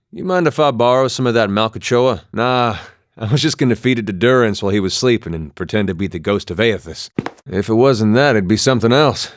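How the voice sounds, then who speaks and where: An actor playing a laid-back, wry farmer and war veteran in a video game, with a folksy drawl and dry, understated humor. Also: male voice, fairly low pitch, dry recording, lightly acted. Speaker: video game companion character. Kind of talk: video game voice acting, story, banter and fight barks.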